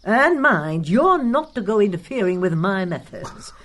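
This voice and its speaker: An actress playing a stern, middle-aged spinster guardian in a period drama, brusque, dry and plain-spoken. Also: female voice, mid-range voice, big pitch swings, a little slow, a bit bright. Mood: brisk, stern. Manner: brusque, no-nonsense. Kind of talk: audio drama acting.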